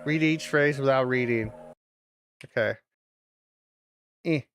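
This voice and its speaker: A streamer of medium-pitched voice giving casual watch-along commentary, reading phrases aloud deliberately and adding loose muttered asides. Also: faint music behind. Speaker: streamer reacting to videos.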